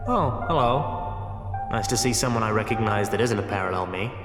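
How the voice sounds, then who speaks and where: A man's voice: fairly low pitch, expressive, normal speed, natural voice. A man playing a wry, chatty time-travelling hero in a fan audio drama, sardonic and self-mocking. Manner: sardonic, quippy. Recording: echoey hall reverb, faint music.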